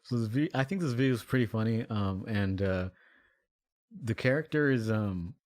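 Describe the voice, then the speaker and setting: A man's voice: fairly low pitch, moderate pace, darkish tone, slight background noise. A man giving casual commentary on his own video, rambling with many fillers and laughing easily. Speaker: musician and video maker.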